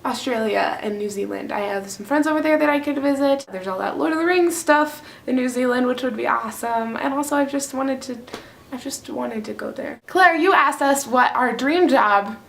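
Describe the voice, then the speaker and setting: Young woman, vlogger, bubbly and chatty, a bit high, some vocal fry. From France. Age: young adult. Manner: bubbly, chatty, quick bursts of excitement. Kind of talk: casual chat to camera.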